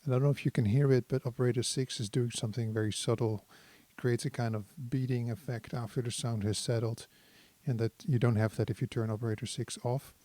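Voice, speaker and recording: A man giving a casual hands-on tutorial as a synthesizer demonstrator, thinking aloud in an unhurried, explanatory way. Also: medium pitch, fairly even intonation, warm tone.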